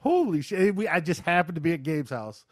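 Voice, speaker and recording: A middle-aged man hosting a podcast, telling casual anecdotes in an animated, excitable way with some swearing. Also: fairly high pitch, a little fast, no room sound, studio quality. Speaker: podcast host.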